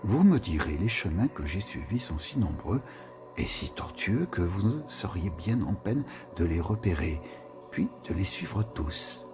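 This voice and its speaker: A man giving a slow, musing reading of a literary text, with pauses and whispered asides. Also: low voice, very animated. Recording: reading with music behind. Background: music.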